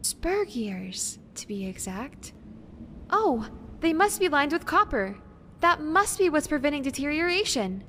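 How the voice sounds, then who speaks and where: A woman's voice: sits high, sing-song, unhurried, fairly bright tone, heavily acted. A woman playing a careful, bookish young researcher in an audio drama, earnest and precise, hesitant when unsure. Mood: absorbed, curious. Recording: faint background noise.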